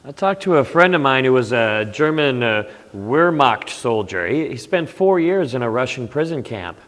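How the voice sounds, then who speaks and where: An older veteran with a Norwegian-tinged accent, speaking earnestly with some hesitation. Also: male voice, mid-range voice, sing-song, normal speed, fairly bright tone. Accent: Norwegian-tinged Upper Midwest. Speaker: veteran panelist. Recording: reverberant community TV studio.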